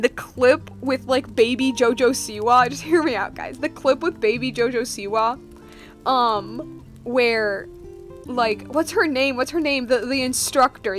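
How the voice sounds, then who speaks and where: Young woman streamer w a highish voice, slangy rambly game reactions, bit of music behind. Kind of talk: casual live gameplay reaction.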